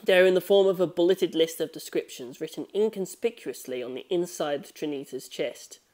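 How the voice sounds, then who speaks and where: A narrator reading a scripted story in an expressive, measured storytelling manner. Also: medium pitch. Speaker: audiobook narrator.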